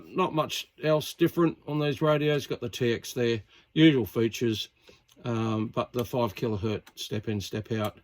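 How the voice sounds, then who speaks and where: A man's voice: mid-range voice, slight background noise. A man of about sixty with an Australian accent, rambling casually with many uhs and ums as he shows off an old radio to his viewers. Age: around 60. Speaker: radio hobbyist showing a CB set. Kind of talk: casual show-and-tell to camera.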